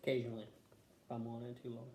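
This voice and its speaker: A man's voice: mid-range voice, completely flat delivery, quick pace, a little mellow, a little hiss or hum. A man answering health questions as a patient, in terse, casual, offhand replies.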